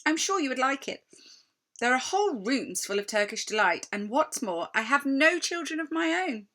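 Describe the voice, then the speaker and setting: An imperious storybook witch queen, as a woman reader puts her on, bright and forceful in tone. She lingers over her words with sweet, false kindness, coaxing like someone used to being obeyed. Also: sits high, sing-song, voice pushed up, full character voice. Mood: enticing. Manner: sugary, drawn-out, coaxing.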